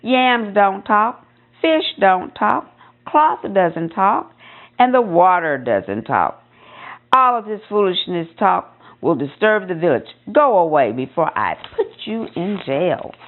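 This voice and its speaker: A woman's voice: medium pitch, sing-song, slow pace, narrow, tinny audio. A woman voicing a stern, disbelieving male village chief in a folktale, emphatic and authoritative. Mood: stern disbelief.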